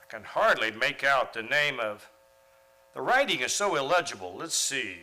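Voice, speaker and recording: A man's voice: mid-range voice, sing-song, normal speed, sharp tone, rough recording. A man playing an earnest, mild-mannered biology teacher in an old-time radio comedy. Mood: earnest, dismayed.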